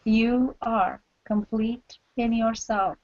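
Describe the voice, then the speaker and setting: A woman reads poetic prose with great slowness. Her voice sits fairly high and her delivery is solemn and deliberate. The sound is a little muffled and lo-fi. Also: fairly even intonation, darkish tone. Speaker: co-reader of a book. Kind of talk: poetic literary reading.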